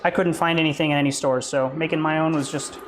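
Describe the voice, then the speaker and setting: A man's voice: fairly high pitch, fast talker, faint music behind. A man hosting a live cooking stream from his home kitchen, chatting casually and explaining each step as he cooks. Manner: relaxed, chatty, explains as he goes. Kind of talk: casual chat while cooking. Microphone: desk mic near the stove.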